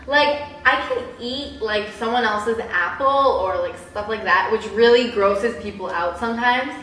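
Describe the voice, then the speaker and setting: A young woman chatting casually in a personal vlog, with a bubbly, animated, giggly way of exaggerating things. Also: fairly high pitch, a little slow. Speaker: vlogger. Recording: roomy echo, distant mic, background hiss.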